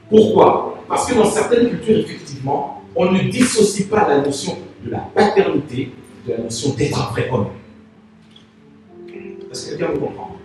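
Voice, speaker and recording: A man preaching a sermon as pastor, from Congo, with Lingala as his first language, drawing the congregation into call-and-response and swinging between loud and soft. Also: fairly high pitch. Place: large church hall. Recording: echoey church hall from far off, faint noise.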